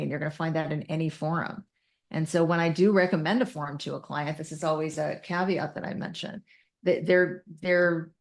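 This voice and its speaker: A woman speaking as an expert guest in an interview, explaining things in a candid, informal way. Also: low voice. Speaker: guest, psychiatric clinician.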